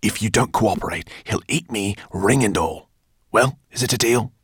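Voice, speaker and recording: A man playing a plucky, quick-witted, gentlemanly older professor who speaks urgently, often in a whisper. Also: on the low side, no echo, studio quality, voice pushed up, close to an everyday voice. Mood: urgent bargaining.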